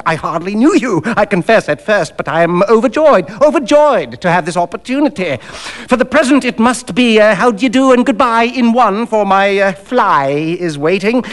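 A pompous, wealthy old physician from a storybook, acted out by a male reader. He talks in a raised, swooping pitch, evasive and condescending, with flustered hesitations. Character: Doctor Macfarlane, pompous, evasive, wealthy elderly London physician.

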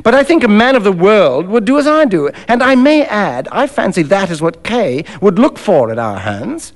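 A man's voice: high-pitched, big pitch swings, pitched above the performer's own voice. Guy doing a slick young doctor from an old story, cool n unscrupulous, sneery, voice goes up and down loads. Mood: coolly persuasive. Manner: brisk, worldly, sneering.

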